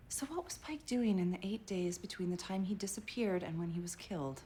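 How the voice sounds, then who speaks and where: An actress playing a brisk, persuasive, observant private detective in a period TV drama, direct and probing. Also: female voice, fairly low pitch, lively intonation, mellow, slight background noise, natural voice. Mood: probing, alert.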